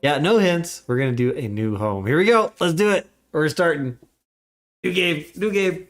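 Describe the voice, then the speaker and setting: A man's voice: on the high side, lively intonation, normal speed. Chatty man streaming a game. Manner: chatty, upbeat, greets chat often. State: slightly congested from a cold. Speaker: livestream gamer. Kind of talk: casual livestream commentary.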